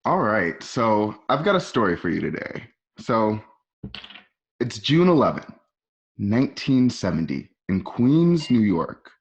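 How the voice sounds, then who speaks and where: A man's voice: on the low side, very animated, a little slow, lo-fi sound. A man narrating screenplay scene directions like a storyteller, recorded at home. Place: at home, remote ensemble session.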